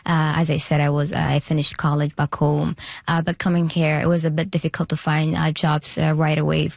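A young woman with a low voice and a gravelly edge, speaking haltingly with many restarts. She is a guest in a radio studio interview. The sound is close and dry. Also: level tone, a little mellow, no room sound. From Eritrea, raised in Sudan. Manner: halting, frequent restarts and hesitations. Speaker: guest, pageant contestant.

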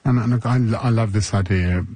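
A man's voice: fairly low pitch, brisk, a little mellow, slightly rough, no room sound. A man, hosting a conversational interview.

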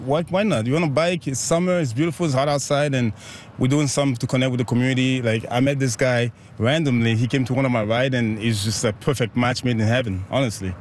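An upbeat man from Haiti chats about his group bike rides in an easy, mid-pitched voice. He speaks in quick, cheerful bursts and laughs often. Faint traffic hums behind him outdoors in the city. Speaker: bike ride organizer. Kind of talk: casual interview.

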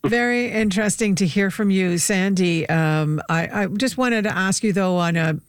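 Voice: medium pitch, normal speed, smooth, no echo. Radio host, call-in show, inviting questions, fillers, dry close studio sound.

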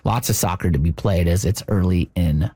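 A man's voice: low voice, normal speed, dark tone, husky, dry recording. A man hosting a live radio news show, chatting casually as he wraps up a reporter's field segment. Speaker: radio host.

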